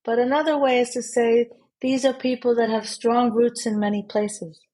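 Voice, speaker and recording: A woman's voice: fairly high pitch, flat delivery, moderate pace, dark tone. A woman born in Israel and raised in Switzerland, a podcast guest who talks thoughtfully and often repeats a word as she searches for it. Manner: thoughtful, with frequent repeated words. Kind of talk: casual interview.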